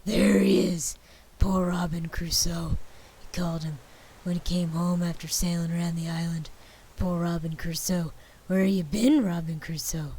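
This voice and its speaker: A woman voicing an old miserly man overcome by childhood memories, with a Southern US accent, loud and breathy, slipping into whispers. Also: lowish voice, measured pace, few pauses. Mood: excited. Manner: excited, breaking into whispers. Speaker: reader.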